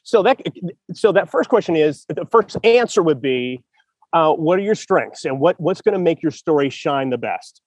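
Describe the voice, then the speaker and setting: A man's voice: a little high, animated, normal speed, no room sound. A man hosting a casual chat about writing, talking with enthusiasm and thinking aloud with many restarts. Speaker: podcast host.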